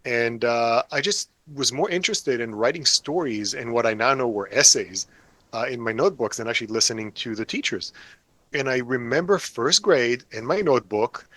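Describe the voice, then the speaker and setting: Guy in his 40s joking about himself in an interview, normal pitch, dry close sound. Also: lively intonation, normal speed, bright, forceful tone, no echo. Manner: wry, self-deprecating, joking. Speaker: author guest. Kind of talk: casual interview chat.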